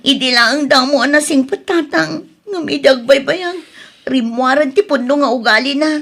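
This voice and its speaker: An actress plays a wronged young wife in a radio drama. Her voice is fairly high, and she speaks as someone confiding a painful marriage. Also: moderate pace, a bit bright. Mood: bitter, confiding.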